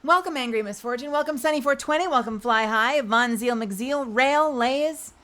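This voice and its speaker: A woman's voice: sits high, normal speed, fairly bright tone. A woman with a nasal voice hosting her live stream, chatting casually and greeting viewers as she reads the chat. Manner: chatty and loose, frequent swearing and laughs. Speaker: livestream host.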